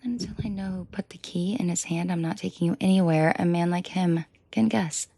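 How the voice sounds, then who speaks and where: A woman playing a commanding, protective and seductive mafia boss in an intimate audio roleplay, speaking in a breathy, controlled murmur that often drops to a half-whisper. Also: lowish voice, warm tone, no room sound. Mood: calm, reassuring. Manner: slow, deliberate, controlled murmur addressed closely to listener.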